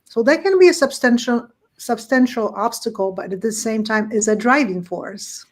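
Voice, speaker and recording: A woman's voice: a little high, normal speed. A woman who is a native Polish speaker with a Polish accent, talking conversationally about her own experiences as a podcast guest. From Poland. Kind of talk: conversational personal storytelling.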